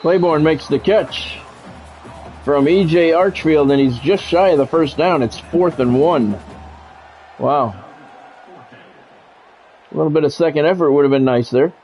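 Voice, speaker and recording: A man calling live play-by-play of a football video game in measured, short clipped announcer phrases. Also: fairly high pitch, a little mellow. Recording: dull lo-fi audio with music behind.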